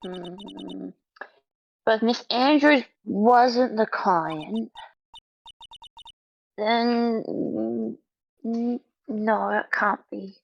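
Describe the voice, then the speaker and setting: A woman's voice: fairly high pitch, drawn-out words, vocal fry, pauses often, slight background noise, exaggerated voice, rough recording. A woman voicing a sweet, anxious little girl in a video game dub, with a timid, hesitant manner. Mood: worried.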